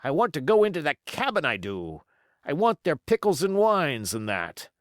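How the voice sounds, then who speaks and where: A man voicing a gruff, impatient pirate coxswain in an audiobook, complaining emphatically in seafaring dialect. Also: on the high side, wildly swooping pitch, normal speed, dry recording, studio quality, voice pushed up. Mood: impatient, greedy. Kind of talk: audiobook character voice.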